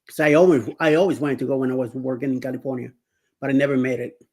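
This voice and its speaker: A man in his sixties hosting a relaxed live-stream chat. He wanders through his stories with frequent fillers and self-corrections, laughing easily as he goes. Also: medium pitch, fast. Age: 60s. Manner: rambling, many fillers and restarts, laughs often. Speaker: show host.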